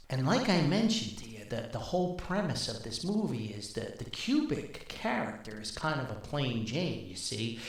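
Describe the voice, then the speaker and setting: Older man rambling in a very echoey room. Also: medium pitch. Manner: rambling, folksy, chuckles at own stories. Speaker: podcast host. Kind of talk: casual anecdotal storytelling. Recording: very echoey, reverberant room sound.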